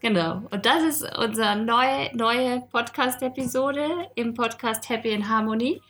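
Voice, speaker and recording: Woman, podcast co-host, higher voice, chatty, laughs often. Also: measured pace, fairly bright tone, faint music behind. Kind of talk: casual podcast chat.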